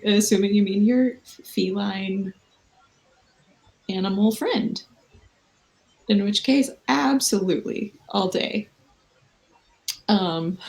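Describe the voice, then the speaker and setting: A woman's voice: mid-range voice, low-quality recording. A woman in her thirties chats with fans over a video call from a hotel room late at night. She rambles loosely, pokes fun at herself and drifts from one thought to the next, in an ordinary mid-range voice. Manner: loose, rambling, self-mocking. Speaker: livestream host.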